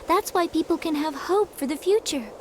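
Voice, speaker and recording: A woman's voice: very high voice, moderate pace, slight background noise. An actress voicing an earnest, hopeful young girl in a game cutscene. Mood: determined. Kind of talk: video game cutscene dubbing.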